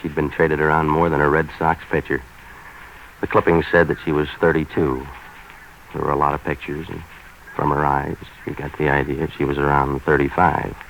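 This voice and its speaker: An actor playing a cynical, impatient American private detective in 1940s radio noir, clipped and deadpan, on a vintage recording. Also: male voice, extremely low pitch, highs cut off, a little hiss or hum.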